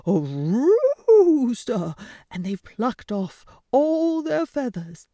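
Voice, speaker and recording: A woman voicing a half-asleep storybook rooster who crows loudly and stammers as he spreads the news. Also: a little high, huge pitch swings, drawn-out words, no room sound, pitched above the performer's own voice, heavily acted. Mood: alarmed. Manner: stammering, crowing out loud. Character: half-asleep crowing rooster, loud and flustered.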